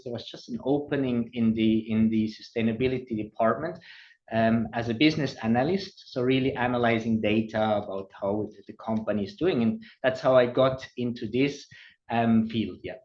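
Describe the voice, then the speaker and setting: A man's voice: medium pitch, darkish tone, muffled recording. A man in his forties with a Swiss accent, a podcast guest speaking with frequent ums and uhs. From Switzerland. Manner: hesitant, many ums and uhs. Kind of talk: podcast interview.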